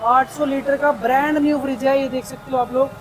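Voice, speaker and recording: A man's voice: very high voice, flat delivery, fairly quick, a little hiss or hum. A man with a North Indian accent hosting a casual promotional vlog, eagerly prompting and echoing the person he talks to. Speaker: market vlog host. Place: discount electronics warehouse shop.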